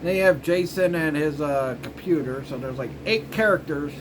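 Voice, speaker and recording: A man's voice: on the high side, moderate pace, noisy background. A man casually rambling through a commentary as host of a review show, in informal, loosely strung phrases. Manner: informal, repetitive filler phrases like "you know" and "frickin'".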